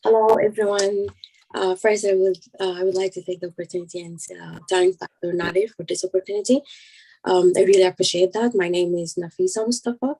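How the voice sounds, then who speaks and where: A woman's voice: lowish voice, fairly even intonation, a little fast, warm tone, slightly rough, rough recording. A woman from Sudan giving a formal, courteous introduction on a video call.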